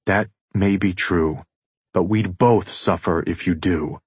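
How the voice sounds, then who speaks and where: Man acting a deep, stiff, remorseful fiancé. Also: husky, no echo, exaggerated voice. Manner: stiff, measured. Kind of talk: audiobook character dialogue.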